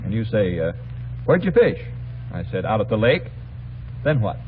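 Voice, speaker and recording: A man's voice: lowish voice, very animated, normal speed. Wry male host on scratchy old radio. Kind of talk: scripted old-time radio comedy banter. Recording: scratchy old radio recording, tinny, narrowband.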